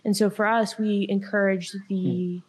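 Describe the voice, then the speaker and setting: Young woman raised in China, earnest explainer, podcast guest; plain even delivery. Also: medium pitch, measured pace, breathy edge, poor audio. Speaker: podcast guest, workshop leader. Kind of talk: podcast discussion.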